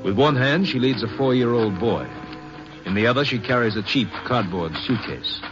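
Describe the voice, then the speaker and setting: A man narrating an old-time radio drama in a measured, scene-setting storyteller's manner. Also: mid-range voice, expressive. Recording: muffled vintage radio recording. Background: music.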